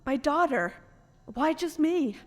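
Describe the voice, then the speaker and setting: Slow, grieving resurrected woman, reverberant. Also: high-pitched, expressive. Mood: bewildered grief. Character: grieving, stitched-together woman brought back from death. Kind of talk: audio drama acting. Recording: long reverb, music underneath.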